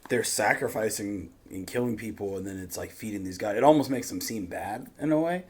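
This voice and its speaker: A man's voice: lowish voice, brisk. A man chatting casually as a reaction host, slangy and full of swearing.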